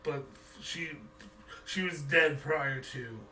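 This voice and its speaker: A man narrates a tabletop game as its game master. His voice is bright and forceful, improvising as he goes. He sounds a little away from the mic, with faint noise behind him. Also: fairly high pitch, takes it slowly, distant mic, low-quality recording.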